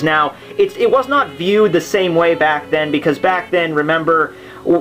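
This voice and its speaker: Higher-voiced man rambling over music. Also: fairly bright tone, rough recording. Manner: rambling, jokey asides. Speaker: host of a literature summary series. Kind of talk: casual unscripted explainer. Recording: music playing under the voice. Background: background soundtrack music.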